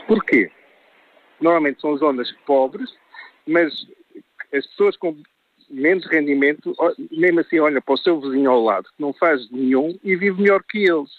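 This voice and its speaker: A man's voice: a little high, normal speed. A man calling into a radio phone-in, arguing his point and pushing his voice up for emphasis. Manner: argumentative, pushes his voice up for emphasis. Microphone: telephone. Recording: dry tinny phone line.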